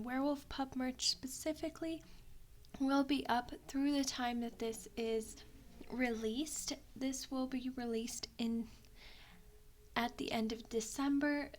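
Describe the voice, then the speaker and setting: Female ASMR channel host, fairly high voice, unhurried soft announcement read.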